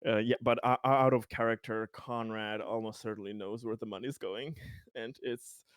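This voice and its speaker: A man playing a tabletop roleplaying game casually, speaking deliberately and haltingly, with pauses between phrases. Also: fairly low pitch, fairly even intonation, clear, resonant tone, no echo, clean studio sound.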